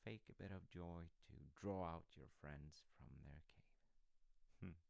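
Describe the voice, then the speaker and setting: A man performs an affectionate storytelling dad in an intimate comfort audio roleplay. His deep voice moves slowly and haltingly, musing gently as he reassures his grown child. The sound is close and dry. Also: creaky, no echo, heavily acted. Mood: musing, gentle.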